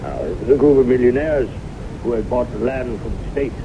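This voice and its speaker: A man's voice: animated, fairly quick, natural voice. An actor playing a genteel, skeptical male anthropologist talking to an old friend in a 1970s radio drama. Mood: reasoning, doubtful. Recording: noisy, rumbling, muffled 1970s radio recording.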